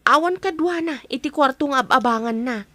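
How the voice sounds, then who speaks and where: A woman's voice: high voice, brisk, sharp tone, no room sound. A woman acting a concerned aunt who gives frank counsel in an Ilocano audio drama. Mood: explaining. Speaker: actor in a drama.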